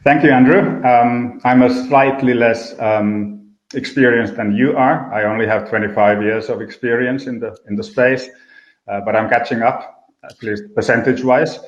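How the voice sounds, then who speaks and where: A middle-aged man speaking as a panelist, measured with a touch of dry humor. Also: mid-range voice, fairly even intonation. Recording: roomy, dull sound.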